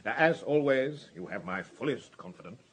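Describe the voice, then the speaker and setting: An actor playing a senior police director in a radio drama, courteous but anxious, with clipped, hesitant authority and a British accent. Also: male voice, mid-range voice, expressive, moderate pace, close to an everyday voice. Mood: concerned, courteous. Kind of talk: BBC radio drama acting.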